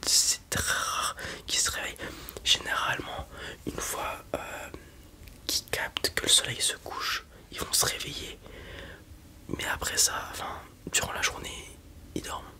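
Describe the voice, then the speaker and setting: A person whispering softly and breathily, improvising a hesitant, explanatory ASMR roleplay as a dragon breeder. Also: measured pace. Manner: soft-spoken, hesitant, explanatory.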